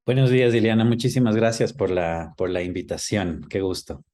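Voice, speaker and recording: A man's voice: on the low side, little pitch movement, normal speed, darkish tone. A middle-aged man answering interview questions thoughtfully, with hesitations and little checking tags. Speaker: interview guest, screenwriter and filmmaker. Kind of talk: reflective conversational interview.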